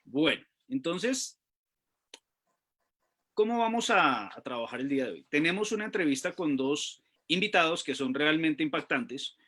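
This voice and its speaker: A man co-hosting a radio magazine, speaking in a formal, deliberate way. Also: a little high, animated, a bit bright. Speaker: radio co-host.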